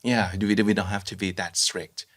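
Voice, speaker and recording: A woman interviewing a guest in casual chat, attentive and full of soft backchannels. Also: rumbling low, steady tone, normal speed, breathy edge.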